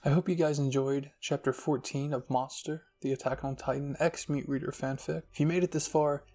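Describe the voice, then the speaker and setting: Casting: male ASMR story reader, soft scripted narration, creaky and husky, over faint music. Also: a little high, steady tone, a little fast. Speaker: fan fiction reader and channel host.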